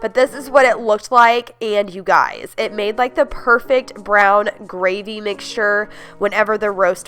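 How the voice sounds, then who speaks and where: A woman's voice: mid-range voice, a bit bright. Stuffed-up woman gushing about recipes. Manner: upbeat, gushing, many "like"s and "you guys". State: congested, stuffed-up voice. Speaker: home cooking vlogger. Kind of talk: casual chatty recipe voice-over.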